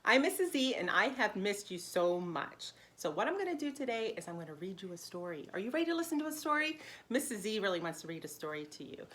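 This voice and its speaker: A woman with a fairly high, very lively voice reads aloud for young children. She speaks simply and explains as she goes, stressing words expressively like a preschool teacher.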